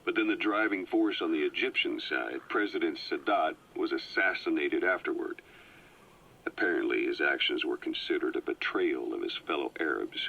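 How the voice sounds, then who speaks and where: A calm, briefing field agent. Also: male voice, very deep voice, creaky, slightly rough, full character voice. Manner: measured, explanatory briefing. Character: calm, well-informed male agent briefing a comrade. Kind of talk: video game cutscene voice acting. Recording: muffled cassette tape audio.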